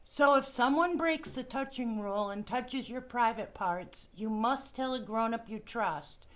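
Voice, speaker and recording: A woman presenter giving scripted advice to children in a measured, reassuring manner. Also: a little high.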